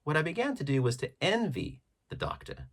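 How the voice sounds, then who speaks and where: A man reading an adventure story aloud as scripted narration, with measured, dramatic phrasing. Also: on the high side, huge pitch swings, creaky. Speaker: audiobook narrator.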